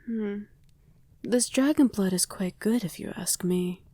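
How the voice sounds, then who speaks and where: A woman performs a doting vampire wife in an intimate ASMR roleplay. Her voice is dark, soft and breathy, often slipping into a whisper. She is affectionate and gently teasing, heard very close and dry. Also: mid-range voice, unhurried, dry recording, natural voice. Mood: playful, seductive.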